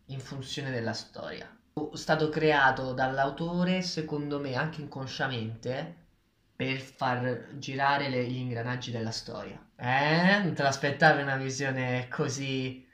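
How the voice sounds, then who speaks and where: A man's voice: mid-range voice, fairly even intonation, unhurried, a bit bright. Guy in his 20s, mid voice, opinionated casual review talk, roomy echo. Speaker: book reviewer. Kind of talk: casual discussion of a graphic novel. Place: small tiled kitchen, indoors. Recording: echoey room, camera at a distance.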